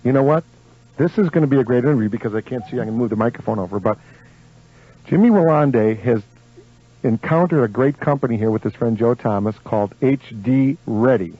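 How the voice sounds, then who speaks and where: A dull, lo-fi radio recording of an American man hosting live. He banters with guests and listeners in an on-air interview style, at an ordinary male pitch. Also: brisk, breathy edge. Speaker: radio host.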